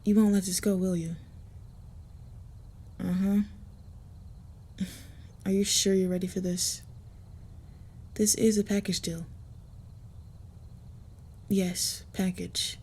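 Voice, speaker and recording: A woman playing a guarded, gentle, possessive vampire in an intimate roleplay audio, soft and hushed, with a hesitant, measured delivery. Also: medium pitch, flat delivery. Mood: serious, intimate. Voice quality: soft, husky, breathy. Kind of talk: intimate roleplay audio with first-person narration.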